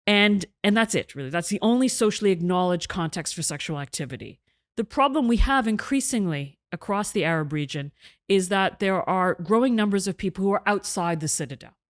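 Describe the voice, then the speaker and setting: A middle-aged woman speaking as an interview guest, her voice sitting a little low for a woman. She explains her points in an articulate, measured way, restarting a phrase now and then. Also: lively intonation. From half Egyptian, half British; raised in Canada. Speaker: guest, journalist and author. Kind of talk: interview conversation.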